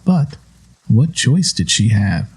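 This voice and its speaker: Male audiobook narrator, soft-edged and husky, measured and solemn delivery of literary fiction. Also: mid-range voice, sing-song.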